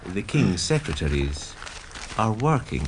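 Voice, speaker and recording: A man's voice: fairly low pitch, sing-song, measured pace, noisy background. A man reading scripted scene-setting narration as the announcer of a radio drama. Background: music.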